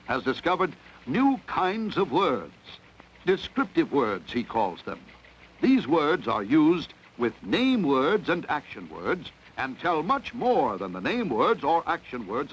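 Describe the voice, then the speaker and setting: Through slightly dull sound comes a solemn cartoon newscaster. His formal bulletin delivery moves in lively swoops at a middling pitch. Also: slight background noise. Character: solemn male TV news announcer reading a flash bulletin. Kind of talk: formal news bulletin parody.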